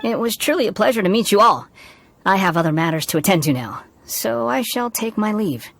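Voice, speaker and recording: A man voicing a polite, enigmatic young vagrant in a video game, smooth and faintly amused. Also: sits high, expressive, brisk, a bit bright, no room sound, full character voice. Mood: courteous. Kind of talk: video game character acting. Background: background music.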